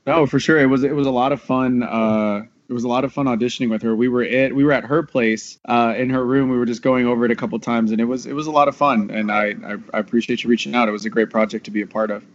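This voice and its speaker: A man's voice: mid-range voice, steady tone, quick pace, few pauses, lo-fi sound. A man chatting casually in a panel discussion as an actor, rambling a little and repeating his phrases.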